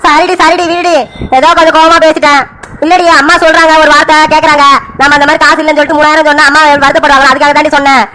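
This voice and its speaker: A woman voicing a brusque husband who talks fast and calls his wife "di" in a family skit. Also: high-pitched, level tone, pushed and bright, a little hiss or hum, low-quality recording. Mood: placating. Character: irritable husband, gruff then soothing.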